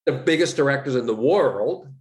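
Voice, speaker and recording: Swiss-accented man telling animated stories. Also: medium pitch, moderate pace. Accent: Swiss German. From Switzerland. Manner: animated storyteller, acts out quoted dialogue. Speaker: actor guest. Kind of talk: casual interview chat.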